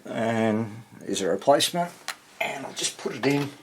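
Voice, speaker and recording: A man giving casual, hands-on repair commentary as he hosts a video, thinking aloud in a husky voice. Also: mid-range voice, lively intonation, moderate pace. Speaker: vintage radio repair hobbyist hosting video.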